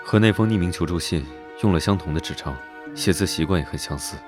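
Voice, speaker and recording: Deep, quick-talking, teasing young duke, voiced by a man for an animated drama; background music. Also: close to an everyday voice. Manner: teasing, drawling and self-assured. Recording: background music under dialogue.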